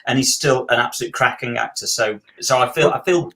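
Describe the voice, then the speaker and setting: A man's voice: medium pitch, brisk, gravelly, sharp tone. A man on a fan podcast panel, talking casually and pressing his opinions with repetition.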